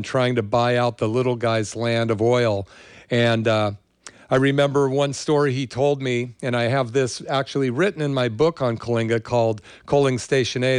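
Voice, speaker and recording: An older American man talks as a show host in a conversational, meandering way, drifting into personal stories. His voice is smooth and clear at a middling pitch, recorded dry with very little room sound. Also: dry recording. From California, USA. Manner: rambling, conversational. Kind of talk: casual monologue with personal storytelling.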